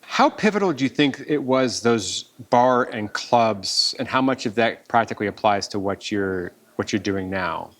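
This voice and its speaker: A man's voice: on the low side, animated, moderate pace, hardly any pauses. A man hosting an interview, asking curious questions that he restarts as he goes. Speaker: podcast host.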